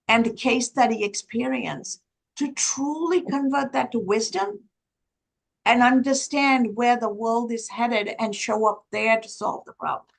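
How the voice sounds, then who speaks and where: A woman's voice: fairly high pitch, gravelly, poor audio. A woman from Sri Lanka whose first language is Tamil, speaking on a conference panel and building her points in long lists.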